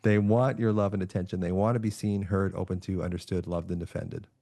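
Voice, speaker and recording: A middle-aged man from Canada talks in a calm, reflective, soothing way as a podcast guest. His voice sits low with a gravelly edge, and the sound is dry and close. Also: fairly quick, no room sound. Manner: calm, reflective, soft-spoken with frequent restarts. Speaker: podcast guest, author and neuroscientist. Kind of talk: relaxed remote podcast interview.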